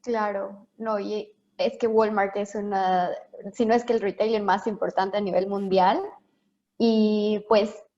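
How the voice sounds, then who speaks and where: A woman's voice: mid-range voice, dark tone, muffled recording. A woman co-hosting an online interview, polite and a little hesitant, with filler words. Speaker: co-host, interviewer.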